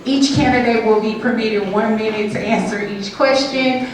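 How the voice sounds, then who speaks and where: A woman's voice: fairly high pitch, fairly even intonation, moderate pace. Woman, moderator, formal announcing voice, distant mic, echoey civic hall. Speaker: forum moderator. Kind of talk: reading rules and introducing candidates. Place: civic center event room.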